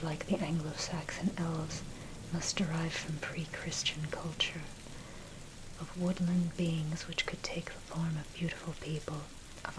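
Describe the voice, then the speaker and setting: Whispering woman narrator over heavy rain. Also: deep voice. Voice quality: breathy, unvoiced whisper. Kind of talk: whispered ASMR reading of dark history. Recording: steady loud rain noise over voice. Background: rain and thunder.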